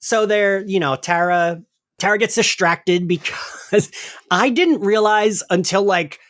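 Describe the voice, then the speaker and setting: A man's voice: high voice, takes it slowly, fairly bright tone. A man hosting a podcast, chatting casually in a rambling, giggly way full of filler words. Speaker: podcast host. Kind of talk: casual chatty recap discussion.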